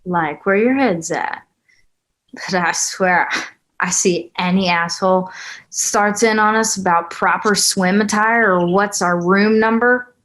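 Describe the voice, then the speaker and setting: A brash woman on the run, voiced by an actress at a table read, with a mid-pitched voice. She is impatient and rough-tongued, speaking in quick-tempered dramatic dialogue. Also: steady tone, fairly bright tone, natural voice. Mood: irritated, sardonic.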